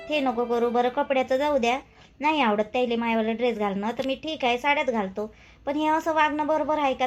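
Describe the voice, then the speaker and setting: A woman's voice: sits high, close to an everyday voice. A woman playing a dutiful young village wife in a cartoon, plaintive and rambling, with a Vidarbha Marathi accent. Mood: aggrieved. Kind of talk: homely cartoon drama dialogue.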